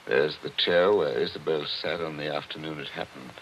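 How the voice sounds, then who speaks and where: A man's voice: very deep voice, normal speed, fairly bright tone, a little hiss or hum. An actor playing a brooding, nostalgic man in a wistful, theatrical 1940s radio drama style. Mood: guarded. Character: Joe Parker, brooding nostalgic man haunted by old memories. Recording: dull vintage radio recording with music.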